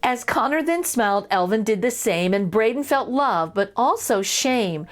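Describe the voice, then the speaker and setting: A woman's voice: fairly high pitch, animated, normal speed, faint music behind. A woman reading a children's picture book aloud expressively, with little asides to young listeners. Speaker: children's story reader and host.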